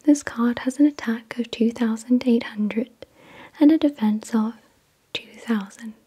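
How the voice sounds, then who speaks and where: A woman whispers in a very breathy, airy voice with almost no tone in it. She takes her time, placing each phrase slowly and carefully. The delivery is soothing ASMR, soft and mellow, like someone reading aloud to help a listener drift off. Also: fairly high pitch. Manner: slow, careful, soothing enunciation. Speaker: ASMR video host. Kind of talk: soft-spoken ASMR card unboxing, reading descriptions.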